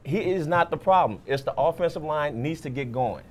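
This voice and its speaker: A man's voice: fairly high pitch, lively intonation, normal speed. A man giving unscripted, opinionated sports analysis as a panelist, repeating his points for emphasis.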